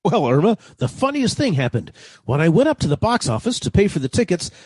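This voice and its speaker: A man playing a polite, puzzled, well-to-do gentleman in a 1940s-style radio comedy. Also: sits high, sing-song, a little fast, husky, dry recording, full character voice. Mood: sheepish politeness.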